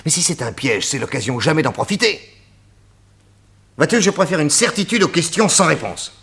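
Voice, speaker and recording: An actor playing a confident, bold detective chief who reasons deliberately in a French radio drama. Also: male voice, on the high side, lively intonation, vocal fry, fairly bright tone, husky, heavily acted. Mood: confident resolve. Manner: deliberate, reasoning, self-assured.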